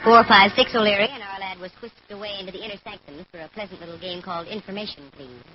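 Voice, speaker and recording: An American actress playing a sharp-witted female private detective in 1940s radio style, breezy, slangy and wry. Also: mid-range voice, fairly even intonation, fast, crowd noise, lightly acted. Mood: wry narrating. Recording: dull vintage radio recording with music.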